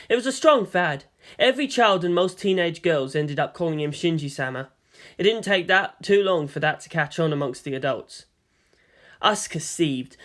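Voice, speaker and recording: A man reading a story as narrator in a dramatic, expressive scripted style. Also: on the high side. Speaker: narrator of fan fiction.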